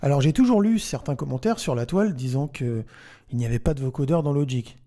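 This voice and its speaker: A man narrating a software tutorial, explaining things step by step as the host. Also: mid-range voice, brisk.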